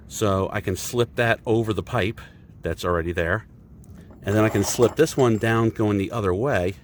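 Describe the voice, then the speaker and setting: A man's voice: on the low side, slight background noise. Stuffy-nosed chatty DIY guy. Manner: rambling, self-deprecating, chatty with fillers. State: stuffy nose. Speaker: DIY video host. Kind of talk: casual how-to vlog.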